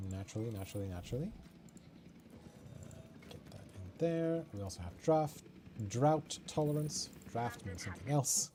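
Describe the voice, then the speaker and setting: A man's voice: mid-range voice, dark tone, slight background noise. A man from Norway chatting casually while playing a video game on stream, muttering and joking at himself. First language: Norwegian. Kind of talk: casual gameplay commentary.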